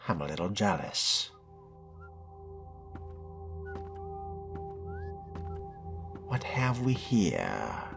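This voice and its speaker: A man playing a suave, wry vampire fledgling in a video game roleplay, speaking in a measured, genteel way with dry amusement. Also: low-pitched, wildly swooping pitch, vocal fry, heavily acted. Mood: musing, wry. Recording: music behind the voice.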